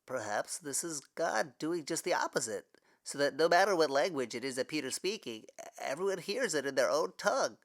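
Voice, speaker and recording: A man voicing a thoughtful young man who speaks quietly and reflectively in a storybook reading. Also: on the high side, animated, moderate pace, few pauses, pitched above the performer's own voice.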